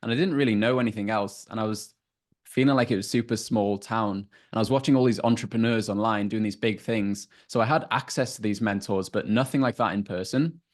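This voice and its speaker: North welsh guy in his 20s, lowish voice, just explaining stuff casual. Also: brisk. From North Wales. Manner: plain, explanatory storytelling. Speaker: relationship coach, guest. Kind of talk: casual interview answers.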